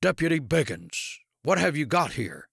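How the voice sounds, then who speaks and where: A man's voice: a little high, big pitch swings, moderate pace, gravelly, a bit bright, breathy edge, no room sound, studio quality, voice pushed up, exaggerated voice. A man voicing an imperious, impatient male district attorney, curt and demanding, in an audiobook. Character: imperious, impatient male district attorney, blame-shifting rich man's son. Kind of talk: audiobook character dialogue.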